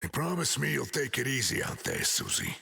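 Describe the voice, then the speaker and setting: A gruff rock-skinned superhero bruiser from a video game, deeply gravelly and forceful. He talks in streetwise New slang, swinging up and down as he wisecracks, with a soft heart underneath. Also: huge pitch swings, fairly quick, full character voice. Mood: gruff, protective. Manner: streetwise, slangy old-fashioned tough-guy talk. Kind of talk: video game hero banter.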